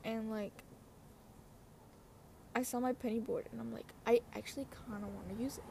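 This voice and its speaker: Young woman, slightly high pitch, casual podcast rambling, slangy, self-interrupting, faint wind and traffic noise. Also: fairly even intonation, moderate pace, vocal fry, mellow. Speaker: podcast host. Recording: windy outdoor noise, passing cars. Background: wind, cars, ambient noise.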